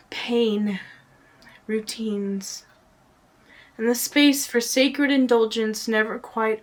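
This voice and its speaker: A woman giving a dramatic spoken-word reading as a poet, earnest and often hushed, with drawn-out phrasing and pauses. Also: fairly high pitch.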